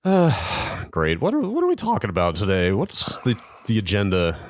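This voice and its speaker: A man's voice: on the low side, huge pitch swings, normal speed, no room sound, clean studio sound. A man co-hosting a podcast in casual chat, wry and joking, in his morning voice. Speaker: podcast co-host.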